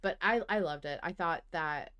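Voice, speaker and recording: Lower-voiced woman, emphatic podcast talk. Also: moderate pace, fairly bright tone, smooth. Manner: emphatic, casually sweary. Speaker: podcast host. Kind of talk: casual fan discussion.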